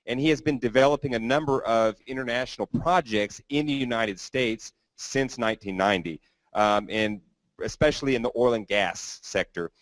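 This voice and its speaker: A man's voice: medium pitch, normal speed, dry recording, poor audio. A man hosting a business conference, introducing speakers in a loose, rambling way with some fillers. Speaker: event host.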